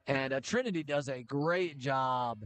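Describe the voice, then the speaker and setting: A middle-aged man doing casual play-by-play for a high school basketball game, chatty and joking, with filler pauses. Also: fairly bright tone, no room sound. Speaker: play-by-play commentator.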